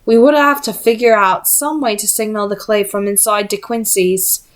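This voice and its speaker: A woman's voice: medium pitch, steady tone, moderate pace, natural voice. A woman voicing a bold, impatient seventeen-year-old male hunter in a storybook, cocky and insistent. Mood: musing.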